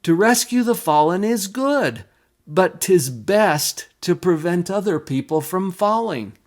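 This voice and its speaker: A man reciting a poem in a deliberate, expressive storytelling manner. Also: high-pitched. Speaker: poem reader. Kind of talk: dramatic poetry recitation.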